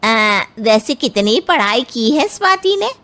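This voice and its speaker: A bossy, old-fashioned mother-in-law from a cartoon family tale, acted by a woman. She speaks very slowly in a high voice, probing and domineering as she sizes people up. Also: very animated, bright, forceful tone, heavily acted. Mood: haughty, probing. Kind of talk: animated moral story acting.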